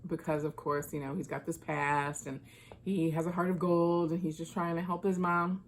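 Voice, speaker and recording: Low-pitched woman chatting casually about books. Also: flat delivery, normal speed, a little hiss or hum. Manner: informal, conversational, thinking aloud. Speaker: book reviewer. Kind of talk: casual book chat vlog.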